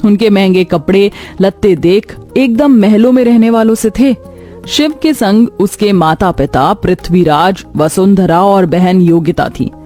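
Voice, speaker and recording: A woman's voice: mid-range voice, unhurried, dark tone, faint music behind. A woman narrating a scripted cartoon story.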